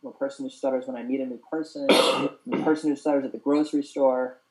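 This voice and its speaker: A man's voice: mid-range voice, little pitch movement, fast, low-quality recording. A young man hosting a casual group chat who stutters openly with repeated sounds and talks in an earnest, open way. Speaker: host.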